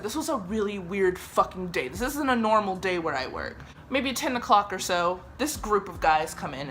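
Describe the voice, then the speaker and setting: A woman's voice: mid-range voice, a little hiss or hum. Chatty swearing young woman. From Germany. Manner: chatty, swears often, lively re-enacted quotes. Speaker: vlogger telling a story. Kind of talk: casual storytime.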